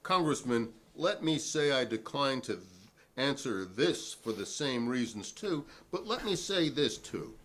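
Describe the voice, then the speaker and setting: Guy acting as principled newspaperman witness at a 50s hearing, formal and argumentative, pitch moves around a lot, noisy background. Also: sing-song, unhurried, fairly bright tone. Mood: defiant, principled. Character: defiant, principled male newspaperman testifying at a 1958 hearing. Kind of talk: dramatic reading of a 1950s hearing transcript.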